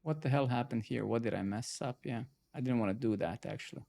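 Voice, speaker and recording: Swearing, exasperated live-coding streamer. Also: male voice, mid-range voice, fast talker, creaky, dark tone. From Serbia. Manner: frustrated, swears freely, many filler "right?" and "man". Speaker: stream host.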